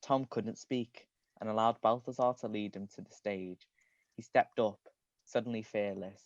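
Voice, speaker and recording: A man's voice: lowish voice, steady tone, moderate pace, dry recording, lo-fi sound. A man reading comedic fan fiction aloud on a livestream. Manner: often breaks into giggles. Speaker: reader.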